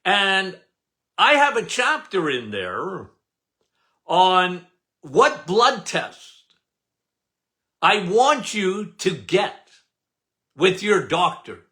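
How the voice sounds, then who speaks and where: An older man from Canada hosting a podcast, loud and emphatic, with a habit of repeating "okay?". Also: high-pitched. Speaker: podcast host. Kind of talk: casual live talk.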